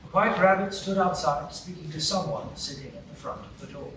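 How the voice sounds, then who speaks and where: Narrator for a live radio play: a man, a bit higher voice, measured storytelling delivery, distant mic, noisy hall. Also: fairly bright tone.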